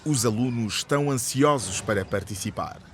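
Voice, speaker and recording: A man's voice: mid-range voice, normal speed. A man narrating a scripted radio drama. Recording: faint background noise.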